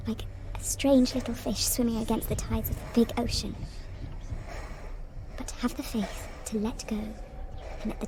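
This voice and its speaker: An actress playing a wise, motherly woman in a video game, gently counselling in a soft, breathy, soothing voice. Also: a little high, lightly acted. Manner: slow, tender, soothing. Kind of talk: scripted video game monologue. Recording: clear ambient noise behind the voice.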